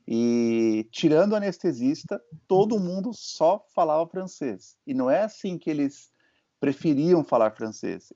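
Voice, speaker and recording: A Brazilian man hosting an interview, telling stories in a relaxed way and checking in with his listener as he goes. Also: medium pitch, vocal fry. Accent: Brazilian Portuguese. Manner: conversational storytelling, checks in with "né?" and "certo?". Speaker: host and physician. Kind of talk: casual interview chat. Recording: muffled lo-fi audio.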